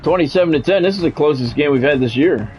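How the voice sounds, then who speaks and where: A man's voice: on the high side, expressive, quick pace, a little mellow, soft background music, poor audio. A man doing football play-by-play as a game streamer, in short clipped announcer-style calls mixed with casual chat to viewers.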